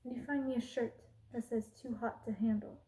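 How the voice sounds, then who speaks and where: A woman playing a haughty, cruel evil queen in an ASMR roleplay, speaking in an imperious, deliberate, menacing way. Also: fairly high pitch, steady tone, dark tone, lightly acted, poor audio. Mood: cold disdain. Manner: imperious, slow and deliberate, drops into menacing whispers.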